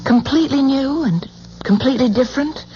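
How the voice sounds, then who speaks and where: A woman's voice: high-pitched, brisk, dark tone, close to an everyday voice. A woman playing a loyal, determined wife. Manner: firm, determined. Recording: dull old radio broadcast with faint hiss.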